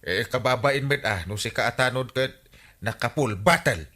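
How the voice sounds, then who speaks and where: A man with an Ilocano Filipino accent playing a whining, excitable village captain in a broad radio comedy. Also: mid-range voice, normal speed, close to an everyday voice. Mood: fretful. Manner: exclamatory, fretful, swooping outbursts. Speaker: comedy drama actor.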